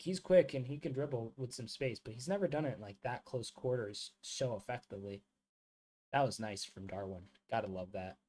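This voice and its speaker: Man, mid-pitched voice, rambling live football chat, lots of "like" fillers. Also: darkish tone. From United States. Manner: casual, rambling, many "like" fillers, calls viewers mate and bro. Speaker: streamer hosting a football watchalong. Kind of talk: live match watchalong commentary and chat.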